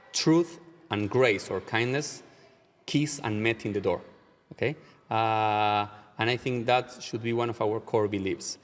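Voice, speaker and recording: A rabbi with an Argentine Spanish accent speaks English in a measured, reflective way, slowing down to weigh his words and checking in with an "okay?". His voice sits in the middle range for a man. A long reverb trails behind him, as if he were recording in a hall. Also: mid-range voice. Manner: measured, slows down to stress key ideas, frequent "uh" and "okay?". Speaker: rabbi, guest. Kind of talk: reflective interview answers.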